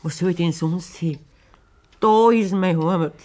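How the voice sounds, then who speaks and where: An actress playing a hurt, wronged middle-aged farmer's wife with a Bavarian accent, plaintive, in a German radio play. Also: female voice, fairly low pitch, unhurried, a little mellow, natural voice.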